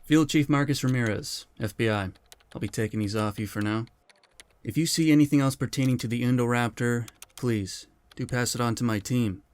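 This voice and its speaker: A man playing an assured, authoritative FBI field chief in an audio drama, with a brisk, collegial manner. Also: medium pitch, clear, resonant tone, lightly acted. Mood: businesslike.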